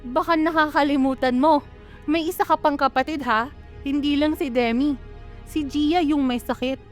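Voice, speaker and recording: A woman's voice: sits high, steady tone, moderate pace, faint music behind, lightly acted. A woman with a Filipino accent playing a worried, nagging mother in an amateur radio drama. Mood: angry scolding. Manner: scolding, exclamatory. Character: worried, nagging, quick-to-scold mother of grown children.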